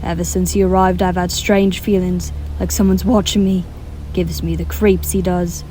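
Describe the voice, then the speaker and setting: An actress playing a feisty, superstitious household maid in a radio drama, colloquial and exclamatory. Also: female voice, lowish voice, level tone, normal speed, warm tone, a little hiss or hum, close to an everyday voice. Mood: scolding, uneasy.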